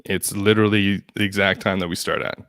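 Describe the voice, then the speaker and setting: A young man co-hosting a live fantasy football show, running the segments in a brisk, teasing way. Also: sits low, steady tone, vocal fry. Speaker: co-host. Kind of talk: casual fantasy football chat.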